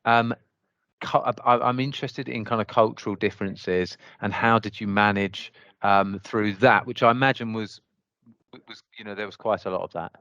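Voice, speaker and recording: A man's voice: lowish voice, fairly even intonation, normal speed, sharp tone, dry recording, lo-fi sound. A man hosting a podcast, asking questions hesitantly and often repeating words as he forms them. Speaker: podcast host, interviewer. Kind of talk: interview questions.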